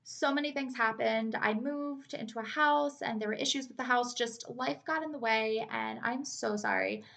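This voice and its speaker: A woman's voice: a little high, normal speed, gravelly, a bit bright. A woman hosting a podcast in an enthusiastic, informal, chatty way. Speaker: podcast host. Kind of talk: casual chat.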